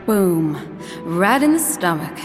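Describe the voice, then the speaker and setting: In a reverberant space with music underneath, a bitter, murderous wife speaks bluntly and theatrically. Her pitch moves a great deal as she voices cold-blooded exasperation in a dark comedy audio drama. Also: very animated, slow. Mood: bitter, exasperated. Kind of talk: darkly comic audio drama acting.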